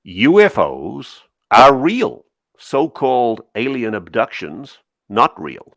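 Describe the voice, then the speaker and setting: A man doing a semi-dramatic cold reading as a guarded navy admiral, in clipped, halting, deliberate phrases. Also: mid-range voice, sing-song, a bit bright, dry recording, studio quality, pitched above the performer's own voice, full character voice. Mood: guarded, firm. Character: guarded, terse male navy admiral recounting secrets.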